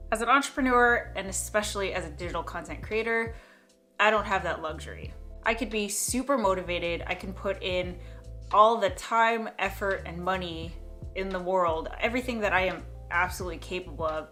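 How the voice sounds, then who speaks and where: A woman's voice: fairly low pitch, fairly bright tone. A young woman vlogger thinking aloud in a reflective monologue, hesitating, restarting and trailing off. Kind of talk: reflective talking-head vlog monologue.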